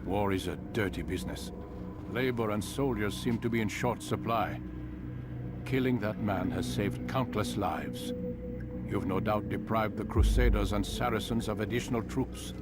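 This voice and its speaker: An actor playing an older, grave leader who speaks in a measured, instructive way, over a loud game score. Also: male voice, on the low side, over loud music, natural voice.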